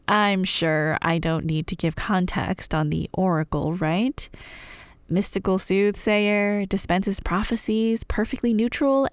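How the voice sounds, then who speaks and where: A woman's voice: medium pitch, smooth, no echo. A woman playing a chatty, nerdy girlfriend, rambling through a story in a wry, irreverent, slangy way. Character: chatty, playful, nerdy girlfriend telling myths. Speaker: audio roleplay performer playing a girlfriend. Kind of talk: casual rambling storytelling, scripted ASMR roleplay.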